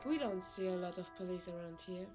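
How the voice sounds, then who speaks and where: A woman's voice: on the low side, a little fast, natural voice. An actress playing a reserved woman gardener from a run-down district in a video game. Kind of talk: video game character dialogue. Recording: quiet game audio under clear music. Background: game music.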